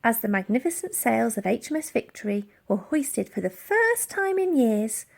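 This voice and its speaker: A woman reading a children's story aloud in an expressive, dramatic storytelling style. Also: a little high, moderate pace, vocal fry. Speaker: audiobook reader.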